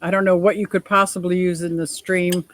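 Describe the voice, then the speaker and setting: A woman in her sixties with a deep voice, hosting a casual livestream, reading viewer comments and laughing often in a gushing, playful way. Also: flat delivery, fairly quick, no echo. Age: 60s. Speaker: livestream host.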